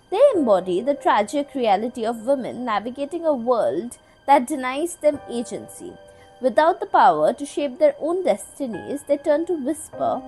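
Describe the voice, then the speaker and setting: A woman reading scripted, dramatic narration as a host, in measured literary phrasing with deliberate pauses. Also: high voice, mellow. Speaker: video essay narrator, podcast host. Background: music.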